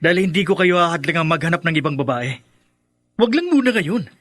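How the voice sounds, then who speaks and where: An actor playing a sharp-tongued young man who mocks his departed stepmother, in a radio drama. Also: on the high side, lively intonation, fast talker, no echo. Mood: scornful. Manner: mocking and spiteful.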